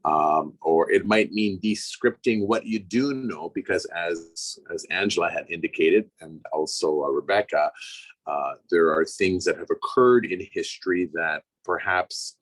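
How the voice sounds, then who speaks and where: Guest speaker, male, middle-aged, raspy from a cold, low voice, calm and measured, dry close sound. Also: no echo, poor audio. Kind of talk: reflective public talk.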